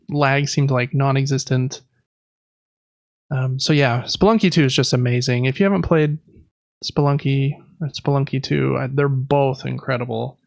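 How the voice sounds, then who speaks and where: A man's voice: medium pitch, creaky, dry recording, muffled recording. A man co-hosting a gaming podcast, chatting casually in a rambling, enthusiastic, informal way. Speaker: podcast co-host.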